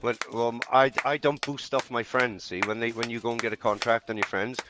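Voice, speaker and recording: A man in a heated game voice chat, with an average-pitched voice under heavy noise and clicks. He is combative and mocking, throwing out sweary, salty insults in casual gamer slang. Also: fairly even intonation, fairly quick. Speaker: online game player. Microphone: gaming voice chat mic.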